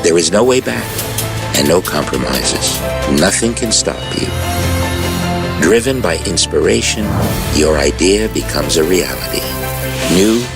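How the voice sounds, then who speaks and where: A very deep, gravelly male voice reads a dramatic promo script at an unhurried announcer pace. Loud music plays under every line. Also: expressive. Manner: slow dramatic announcer delivery. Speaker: promo voice-over. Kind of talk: scripted ad narration.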